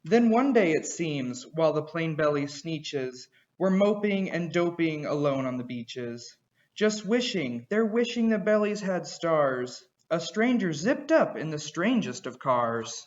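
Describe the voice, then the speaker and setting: A man reading a children's rhyming picture book aloud, with an expressive, bouncy storytelling rhythm. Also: a little high, a little slow, highs cut off.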